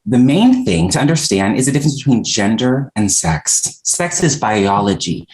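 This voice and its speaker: A man's voice: medium pitch, big pitch swings, moderate pace, a little mellow, close to an everyday voice, low-quality recording. A man acting a frank, exasperated young non-binary dinner guest in a comedy script table read, talking in a candid, wry way. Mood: patient, explaining.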